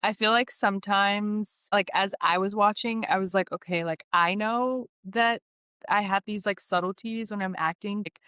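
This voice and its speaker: A woman's voice: medium pitch, steady tone, normal speed, fairly bright tone, clear, resonant tone, dry recording, studio quality. A woman speaking as an actress guest in a casual podcast interview, often saying "like" and quoting her own reactions.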